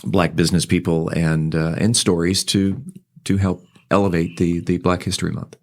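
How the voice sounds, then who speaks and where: A man interviewing a guest on a podcast, conversational, putting his questions in a halting, deliberate way. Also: low-pitched, little pitch movement.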